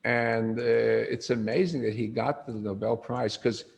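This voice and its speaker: Gravelly older man lecturing with lively questions. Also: medium pitch, unhurried, a little hiss or hum. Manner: animated storyteller, asks the room questions. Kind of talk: informal lecture to a group.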